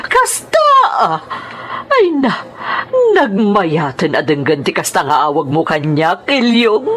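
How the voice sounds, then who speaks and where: A woman's voice: lowish voice, big pitch swings, slow, fairly bright tone, slight background noise, full character voice. A woman playing a haughty, bossy older villainess, pompous and scornful, in a radio drama. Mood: smug, delighted. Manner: pompous, imperious.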